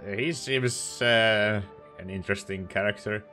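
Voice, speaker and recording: A man's voice: lowish voice, a little slow, pushed and bright. A man doing a let's play, reacting casually to a video game as he goes. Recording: faint game music behind voice.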